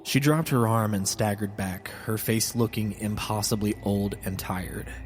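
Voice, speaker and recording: A man's voice: fairly low pitch, unhurried. A man reading a scripted first-person horror story as a solemn, literary narrator. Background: music.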